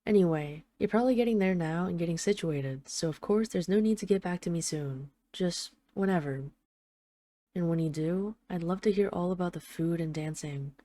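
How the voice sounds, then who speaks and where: A woman's voice: on the low side, dark tone, dry recording, natural voice. A woman playing a tough but tender, jealous mafia boss, speaking intimately to an absent partner in a scripted audio roleplay voicemail. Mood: fond, affectionate. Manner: intimate, halting, sweary, addressing an absent lover.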